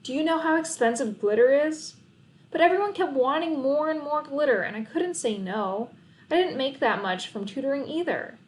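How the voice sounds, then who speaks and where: Woman voicing an exasperated, high-pitched studious schoolgirl. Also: expressive, normal speed. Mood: frustrated. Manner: emphatic, exasperated. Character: studious, stressed, bossy schoolgirl sister.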